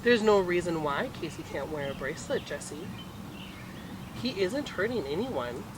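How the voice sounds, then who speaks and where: A performer voicing an older woman, a generous and accepting grandmother with a gentle, reasoning manner in a children's story. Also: medium pitch, animated, moderate pace, crowd noise, close to an everyday voice. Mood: kindly.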